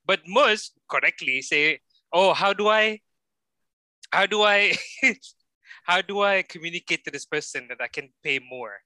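A man's voice: high-pitched, lively intonation, measured pace, bright, forceful tone, no echo, low-quality recording. A man in casual chat who tells stories while laughing often. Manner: giggly storyteller, laughs often.

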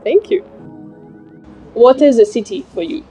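A woman's voice: on the high side, sing-song, a little slow, dark tone, slightly rough, faint music behind. A woman interviewing strangers outdoors, encouraging them with short affirmations. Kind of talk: vox pop interviewing. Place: outdoor fair in a park.

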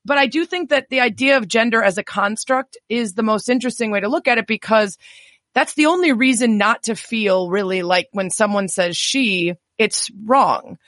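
Woman host with a low voice, dry mic. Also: normal speed, fairly bright tone, dry recording. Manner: chatty, engaged, asks questions. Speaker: podcast host. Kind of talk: casual interview conversation.

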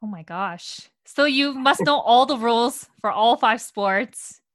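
A woman's voice: fairly high pitch, lively intonation, a little slow, fairly bright tone, no echo. A woman hosting and interviewing on a podcast in a conversational style.

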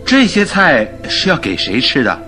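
An actor playing a detective's puzzled, curious companion, full of questions, in a radio drama. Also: male voice, a little high, wildly swooping pitch, moderate pace, sharp tone, soft background music, heavily acted. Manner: questioning, bewildered. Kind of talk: radio drama acting in Mandarin.

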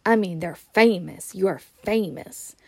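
A woman voicing a gruff, blustery but kindly giant man with a Scottish accent, reading from a storybook. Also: a little slow, darkish tone, no echo, heavily acted. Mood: dumbfounded. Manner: booming, blustery.

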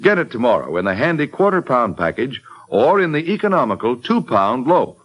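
A man's voice: medium pitch, very animated, fairly quick, fairly bright tone, slightly rough. A man with an American accent announcing and selling in polished 1940s radio style.

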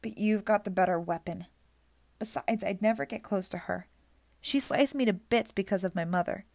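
A woman's voice: medium pitch, normal speed, gravelly, darkish tone, no echo, natural voice. A woman playing a bossy, brainy young girl. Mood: insistent. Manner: urgent, precise.

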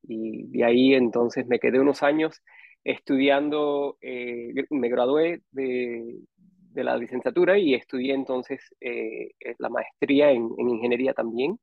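A man in his forties with a Cuban accent, telling his story casually as an interview guest and often pausing to search for words. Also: medium pitch, steady tone, gravelly, no echo. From Cuba, raised partly in Costa Rica and Miami. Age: 40s. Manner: hesitant, searching for words with long pauses. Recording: dull, band-limited dry audio.

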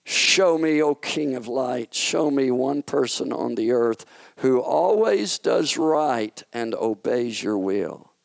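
A man voicing Satan, a scheming, defiant fallen angel who speaks warily and breathes loudly. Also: mid-range voice, lively intonation, slow, gravelly, no room sound. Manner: wary, prowling, breathing loudly.

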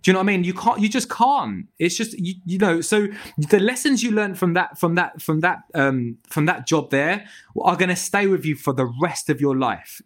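A man's voice: high-pitched, normal speed. A man, a tree surgery business owner, chatting casually on a podcast with restarts and swearing. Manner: animated, swears freely, lots of restarts.

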